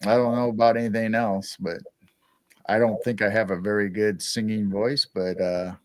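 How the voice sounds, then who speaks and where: A man's voice: lowish voice, flat delivery, normal speed, no room sound. A man co-hosting a casual live-stream chat, rambling with frequent restarts. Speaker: co-host.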